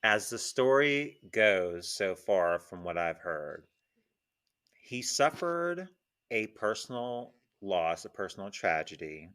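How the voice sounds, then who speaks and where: A man's voice: fairly low pitch, very animated, slow pace, a bit bright, smooth. A man hosting a casual panel chat in an informal, conversational style. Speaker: host of a pop culture panel show.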